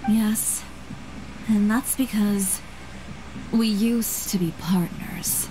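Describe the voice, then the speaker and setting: A woman playing a proud, determined young fashion designer in a video game, composed and measured. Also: medium pitch, fairly even intonation, very noisy. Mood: measured, reflective.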